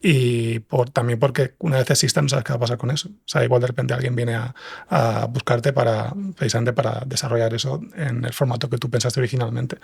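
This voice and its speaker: A man's voice: medium pitch, little pitch movement, fairly quick, creaky, darkish tone, slightly rough. A man with a Spanish accent from Spain, explaining things casually as a podcast guest and correcting himself as he goes. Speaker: guest, writer of audio fiction and comics.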